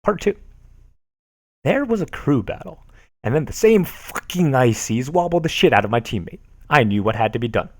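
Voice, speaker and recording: Male streamer reading forum posts, animated delivery, dry recording. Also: medium pitch, moderate pace, no room sound. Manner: animated, sarcastic, laughs at what he reads.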